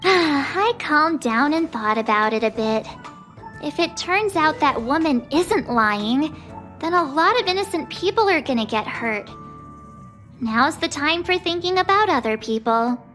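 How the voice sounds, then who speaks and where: A woman's voice: high-pitched, animated, unhurried, fairly bright tone, faint music behind. A woman playing a bubbly, impulsive teenage girl explorer in a video game, chatty and informal. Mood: earnest, reflective. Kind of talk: animated game voice acting.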